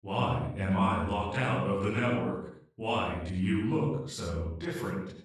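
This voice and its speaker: Guy playing a polite worried male ai in a sad audio drama, talks real slow and careful, sounds far off from the mic. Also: low-pitched. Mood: uneasy, questioning. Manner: measured, formal, articulate, hesitant. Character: anxious, polite, humanlike male artificial intelligence awakened after decades. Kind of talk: emotional audio roleplay drama. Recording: echoey, distant-sounding room.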